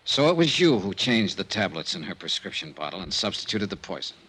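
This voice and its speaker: An actor playing a wry, world-weary insurance investigator in a 1950s radio detective drama. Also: male voice, deep voice, sing-song, a little fast, sharp tone, slight background noise, full character voice, rough recording. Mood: probing.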